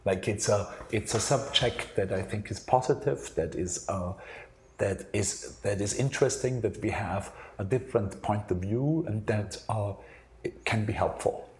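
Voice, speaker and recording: A man with an Austrian accent and German as his native language answers interview questions as a designer, in a thoughtful, halting, anecdotal way. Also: fairly low pitch, darkish tone, a little hiss or hum.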